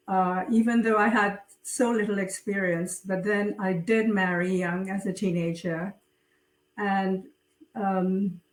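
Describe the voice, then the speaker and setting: A middle-aged woman from Trinidad, an author talking about her writing in an interview, slowly, with many fillers and pauses. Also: medium pitch. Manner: slow, hesitant, many fillers. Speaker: guest author. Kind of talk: interview conversation. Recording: roomy, distant from mic.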